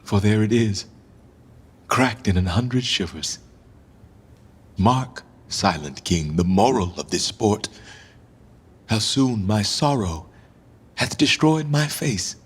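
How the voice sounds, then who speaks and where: A man's voice: on the low side, expressive, husky, big-room sound, heavily acted. An actor playing a proud, bitterly ironic deposed king who mourns himself in theatrical Shakespearean verse for radio. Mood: anguished, brooding. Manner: theatrical verse delivery, musing, mocking pauses. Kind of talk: Shakespearean radio drama acting.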